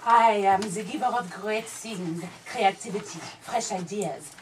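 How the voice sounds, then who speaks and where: A woman's voice: mid-range voice, measured pace, natural voice. A woman playing the grand, boastful ghost of a fashion designer from France, declaiming in a puppet show. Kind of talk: live puppet show performance. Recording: distant mic, very noisy room.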